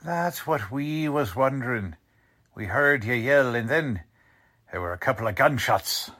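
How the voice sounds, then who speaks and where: A man playing a shrewd, suspicious investigator in a 1940s-style radio drama, questioning deliberately in colloquial, ungrammatical speech. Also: medium pitch, lively intonation, sharp tone. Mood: concerned, businesslike. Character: shrewd streetwise male investigator, quietly suspicious.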